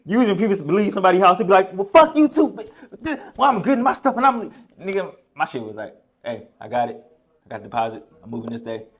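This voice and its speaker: A young man hosting a casual podcast, telling animated stories full of slang and profanity. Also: high voice, quick pace. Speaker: podcast host.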